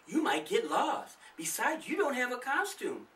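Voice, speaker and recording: A man's voice: extremely high pitch, wildly swooping pitch, measured pace, pushed and bright, reverberant, far from the mic, a little hiss or hum, pitched above the performer's own voice, exaggerated voice. A man voicing a fond but firm mother of young children in a storybook reading. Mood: gentle, nostalgic.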